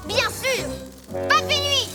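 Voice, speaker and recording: An actor voicing a cocky, argumentative child character in an animated cartoon dub. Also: very high-pitched, slow, heavily acted. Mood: defiant. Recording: music behind the voice.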